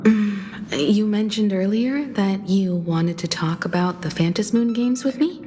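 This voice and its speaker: An actress playing a thoughtful adventurer and adviser in a video game, reasoning things out in an articulate way. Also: female voice, mid-range voice, unhurried, lightly acted. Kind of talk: scripted game voice acting. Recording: loud music behind.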